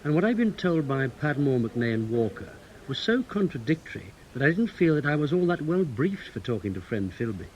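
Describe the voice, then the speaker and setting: An actor playing a matter-of-fact intelligence officer in a radio drama, plain-spoken and reflective. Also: male voice, on the high side, very animated, fairly quick, hardly any pauses, a little mellow, dull audio, slight background noise. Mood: reflective, uneasy. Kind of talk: radio drama acting, first-person narration.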